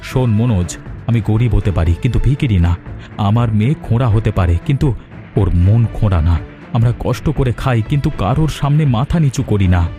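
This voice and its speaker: A man's voice: lowish voice, animated, moderate pace, close to an everyday voice. A man playing a poor, injured village father in a moral-story cartoon, earnest, firm and full of dignity. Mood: proud, defiant. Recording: music behind the voice.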